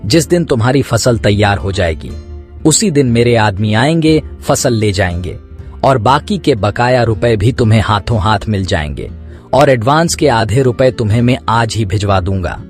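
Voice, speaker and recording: A man's voice: lowish voice, lively intonation, moderate pace, faint music behind, lightly acted. A man playing a scheming, commanding rich village merchant in a cartoon story, businesslike and bossy. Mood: persuasive, businesslike.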